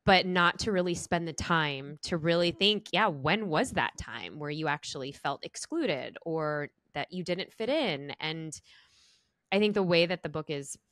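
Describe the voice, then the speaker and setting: Woman, podcast host, very low pitch, dry sound, enthusiastic, halting. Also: lively intonation, a bit bright, no room sound. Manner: enthusiastic, halting, gushing praise. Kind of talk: podcast interview.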